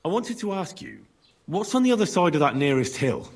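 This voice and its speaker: Young male wanderer, plain-spoken, inquisitive, husky edge, expressive pitch, video game dialogue. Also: brisk, heavily acted. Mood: polite curiosity. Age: young adult.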